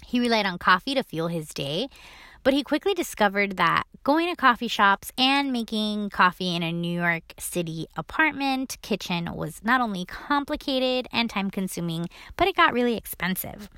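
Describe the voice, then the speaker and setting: A woman hosts a food podcast with a cheerful, enthusiastic delivery. Her voice is bright and clear, recorded close with no room sound at all. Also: mid-range voice, animated, normal speed, no echo. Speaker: podcast host. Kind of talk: scripted intro then interview.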